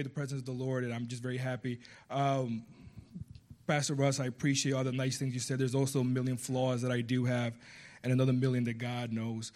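A man's voice: mid-range voice, a little mellow. A man from Puerto Rico with a slight Puerto Rican Spanish accent, preaching a heartfelt sermon as a guest preacher. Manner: earnest, heartfelt, builds up emphatically.

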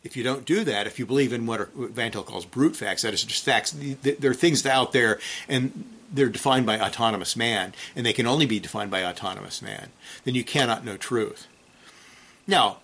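Bible teacher, male, bright and forceful tone, reads with emphasis, loose asides with restarts. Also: lowish voice, husky. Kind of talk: reading a theology book aloud, then commenting and praying.

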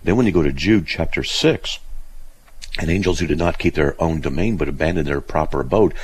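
A man hosting a radio call-in show, with a very deep, gravelly voice from a developing chest cold, talking in a relaxed, chatty way as he explains scripture. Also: fairly quick, a little hiss or hum. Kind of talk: casual radio call-in talk.

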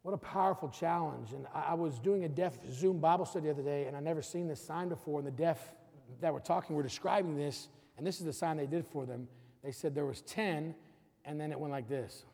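A man's voice: on the high side, a little fast, vocal fry, a little mellow, big-room sound. A middle-aged man preaching a sermon, urging his congregation with rising emphasis and calling the music team up. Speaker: pastor. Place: church sanctuary.

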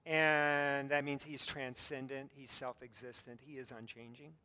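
A man preaching a sermon as pastor, earnest, with light self-deprecating humor, frequent fillers and restarts, and a voice he himself calls squeaky. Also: little pitch movement, unhurried, bright, forceful tone, smooth. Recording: long reverb from a hall.

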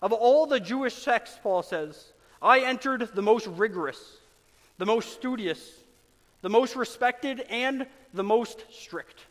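A man with a high voice preaching from the pulpit, earnest and emphatic. He leans into dramatic pauses, and his words ring out with a long reverb in a church sanctuary. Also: animated, a bit bright. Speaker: pastor. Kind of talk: sermon.

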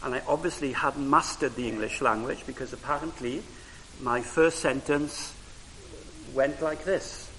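A man whose first language is Welsh, preaching a sermon in a church in a measured way, with frequent pauses and rising emphasis on key phrases. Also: medium pitch, pushed and bright. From Wales. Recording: reverberant hall with faint hiss.

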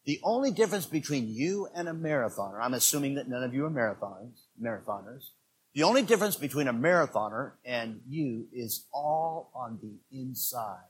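A man in his sixties preaching a sermon as pastor, swinging between quiet asides and loud, emphatic preaching. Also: mid-range voice, very animated, a little fast, husky, poor audio. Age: 60s.

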